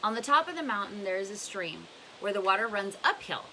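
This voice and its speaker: Woman reading as a blunt old woman, bright voice. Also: mid-range voice, very animated, brisk, slight background noise, poor audio. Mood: firm, instructive. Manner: emphatic, questioning, instructive. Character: blunt, scornful old woman advising a lovestruck boy.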